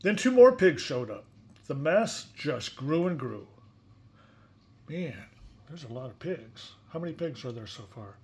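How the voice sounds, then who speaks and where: A man's voice: on the high side, sing-song, a little slow, a little mellow. A man reading a picture book aloud expressively, with casual, chatty asides and questions to a young listener. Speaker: story reader.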